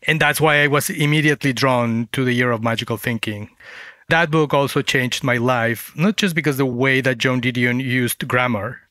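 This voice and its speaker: A man's voice: mid-range voice, fairly even intonation, normal speed, sharp tone, dry recording, studio quality. A man from Mexico, a native Spanish speaker with a Mexican accent, telling his story conversationally as an interview guest. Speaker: guest author.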